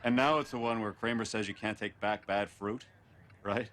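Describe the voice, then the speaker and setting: An actor playing a folksy, self-important, flag-waving American TV host, rambling and sanctimonious in a film drama. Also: male voice, lowish voice, steady tone, moderate pace, fairly bright tone, close to an everyday voice. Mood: chatty, rambling.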